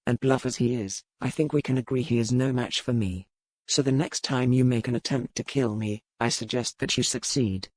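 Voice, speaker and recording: An actor playing a commanding, menacing pirate captain who speaks with formal, deliberate courtesy in a romance audiobook. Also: male voice, medium pitch, a little mellow, dry recording, close to an everyday voice, low-quality recording. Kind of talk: dramatized romance audiobook dialogue.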